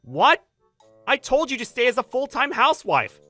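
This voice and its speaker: A woman's voice: high voice, very animated, moderate pace, bright, forceful tone, no room sound, soft background music, full character voice. A woman voicing a whiny, selfish husband. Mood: dismissive. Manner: blunt, whiny and demanding. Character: selfish adult husband, dismissive of housework. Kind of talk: manga dub voice acting.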